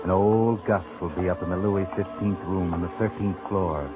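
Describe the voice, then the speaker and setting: A tinny old radio broadcast with loud music behind it. An actor plays a male crime-show narrator, low and insinuating. He taunts like a guilty man's conscience, with sardonic, dramatic questions. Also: fairly even intonation, moderate pace, lightly acted. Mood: sly, taunting. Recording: tinny, band-limited old radio recording.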